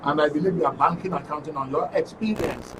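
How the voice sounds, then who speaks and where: A Nigerian man hosting a live studio interview, speaking in a wordy, halting way with formal courtesy. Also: fairly high pitch. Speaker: talk show host. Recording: distant mic with faint noise.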